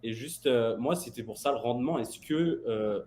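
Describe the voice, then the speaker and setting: An Italian-French man moderating a casual football discussion, asking relaxed, filler-heavy questions. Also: mid-range voice, moderate pace, slight background noise. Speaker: host and moderator.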